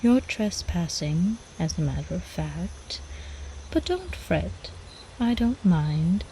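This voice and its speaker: Woman playing a slow, velvety vampire countess. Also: on the low side, lively intonation, dark tone, slight background noise. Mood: silky, possessive. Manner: slow, refined, coyly teasing, formal old-fashioned diction. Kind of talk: ASMR roleplay acting.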